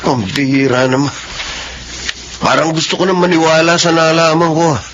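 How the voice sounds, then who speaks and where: Bright, highish-voiced gossipy office man, acted. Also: steady tone, normal speed, dull audio, slight background noise, lightly acted. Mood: suspicious, gossipy. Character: gossipy, suspicious male office worker. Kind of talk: Filipino radio drama acting.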